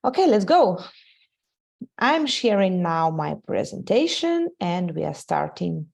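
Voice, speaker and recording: Woman, Ukrainian accent, webinar host, lowish voice, gravelly fry, halting speech with fillers, video call mic. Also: big pitch swings, a little mellow. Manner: halting, many "uh" fillers, non-native grammar. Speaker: webinar host, sales executive. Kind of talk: live webinar presentation.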